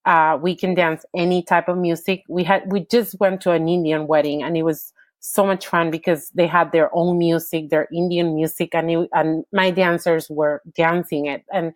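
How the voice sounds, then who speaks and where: A woman's voice: fairly low pitch, little pitch movement, moderate pace, no echo. A woman from Peru with a Peruvian Spanish accent, talking upbeat about her entertainment business as a podcast guest, often restarting her sentences. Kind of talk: interview guest.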